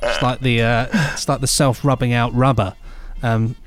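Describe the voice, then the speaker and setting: A man's voice: mid-range voice, little pitch movement, normal speed, background noise. A man co-hosting a podcast, joking around in casual chat and reading listener emails aloud. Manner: playful, rambling, giggly. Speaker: podcast co-host.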